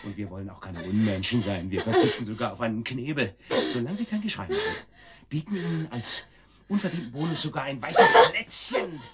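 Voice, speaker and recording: A man's voice: medium pitch, very animated, fairly quick, noisy background, natural voice. An actor playing a sadistic, cruelly playful kidnapper in a radio drama, mocking and menacing as he talks. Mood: mocking cruelty. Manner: mocking, menacing, chuckling, taunting.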